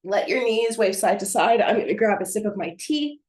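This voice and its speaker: Hoarse woman, pilates instructor, patient step-by-step cueing, echoey room. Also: medium pitch, normal speed. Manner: calm, encouraging step-by-step cueing. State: hoarse, getting over a cold. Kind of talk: guided pilates class cues. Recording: roomy echo.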